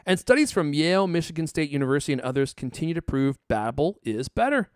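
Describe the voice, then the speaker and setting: A man's voice: on the high side, sing-song, fast, dry recording, studio quality. A man hosting a podcast, chatting casually and reading ads in a jokey, teasing way with theatrical emphasis. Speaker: podcast host. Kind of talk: casual chat and ad reads.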